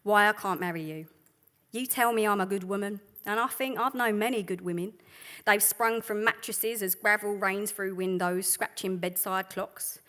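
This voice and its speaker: A woman reading as a weary, tender woman addressing the man who calls her good. The recitation is slow and measured, in a middle pitch, plain and close. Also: fairly even intonation, bright, forceful tone, lightly acted. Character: weary, tender woman speaking a poem to a lover. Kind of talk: poetry reading.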